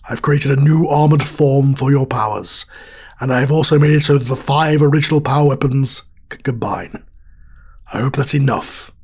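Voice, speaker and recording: Man playing a very husky old mentor. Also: medium pitch, darkish tone. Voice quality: husky, raspy. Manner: solemn, deliberate. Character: weary old male mentor warrior, stern and resigned. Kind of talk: audio drama acting.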